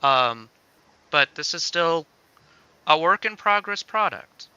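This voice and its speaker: Male game developer, casual livestream co-host. Very bright, forward voice with a clean, smooth edge. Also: fairly high pitch, lively intonation, slow pace, dry recording, highs cut off. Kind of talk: casual demo livestream chat.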